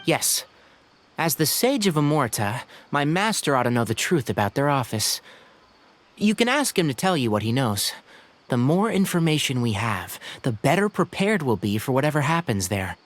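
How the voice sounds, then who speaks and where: A man voicing a calm polite young ranger. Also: mid-range voice, lightly acted. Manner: polite, measured, organizing. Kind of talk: game voice acting.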